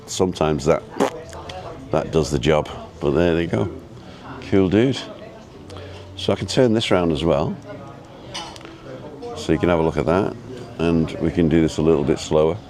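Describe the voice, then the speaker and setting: A man with an easygoing, chatty and halting way of talking, casually rambling as he hosts a live vlog. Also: a bass voice, warm tone. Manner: easygoing, chatty, halting as he reads and answers viewer comments. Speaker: live-streaming vlogger and host. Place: outdoors at a restaurant overlooking the road and ocean.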